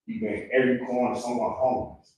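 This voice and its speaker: A man speaking off the cuff at a community event as a former prisoner, earnest and preaching, in halting phrases. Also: medium pitch, fairly even intonation, darkish tone. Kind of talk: impromptu heartfelt public talk. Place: live community event in a reverberant room. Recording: echoey hall, distant mic, faint noise.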